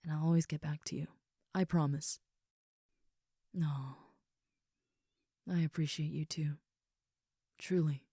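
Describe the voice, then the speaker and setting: Affectionate young female ogre roommate played by a woman for comfort roleplay audio: very low-pitched, relaxed, soft and sincere, close dry sound. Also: slow, long pauses, husky, no room sound, full character voice. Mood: gentle, reassuring. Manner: soft, sincere, rambling, reassuring.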